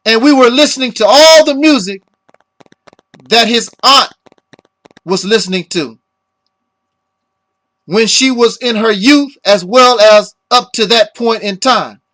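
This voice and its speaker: A middle-aged man from the United States giving a reflective personal monologue in deliberate, halting phrases, his voice slightly hoarse. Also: very high-pitched, fairly bright tone, low-quality recording. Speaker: speaker giving a personal tribute.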